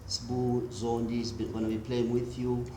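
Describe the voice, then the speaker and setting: A man with a South African accent, a bassist hosting a casual interview, halting and repeating his words. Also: monotone, noisy background. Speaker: host bassist. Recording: echoey room with music behind.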